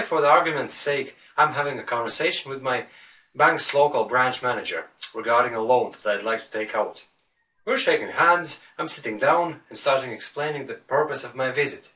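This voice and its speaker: A man's voice: medium pitch, pushed and bright, far from the mic. A man from Latvia with a Latvian accent, coaching viewers in English in an earnest video lesson and putting rhetorical questions to them.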